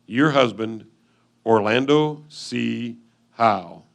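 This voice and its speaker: A man playing a lonely 1850s frontier husband, reading his letter home in a measured, earnest reader's theatre style with dramatic pauses. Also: medium pitch, creaky. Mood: tender, earnest.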